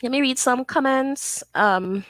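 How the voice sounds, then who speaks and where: A woman hosting a casual live stream, giving her opinions and reading viewers' comments aloud in a chatty, thinking-out-loud way. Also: sits high, a little slow, no echo. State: sniffly from sinus trouble. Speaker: livestream host.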